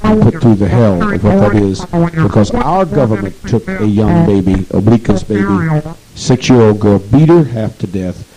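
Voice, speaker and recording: A man's voice: fairly low pitch, sing-song, moderate pace, a crowd in the background, rough recording. A man leading a meeting, speaking intensely and repetitively in a preaching manner on an old archival tape. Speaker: group leader addressing a meeting. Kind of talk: commanding speech to an assembly.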